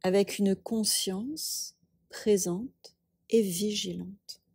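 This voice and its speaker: Slow, breathy woman guiding meditation. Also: medium pitch, no echo, studio quality. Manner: calm, unhurried, instructing in short phrases with long pauses. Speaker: yoga nidra teacher. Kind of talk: guided meditation.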